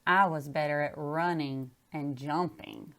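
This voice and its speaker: A woman's voice: low-pitched, fairly even intonation, a little slow. A woman chatting casually and reading a picture book aloud. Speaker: storybook reader.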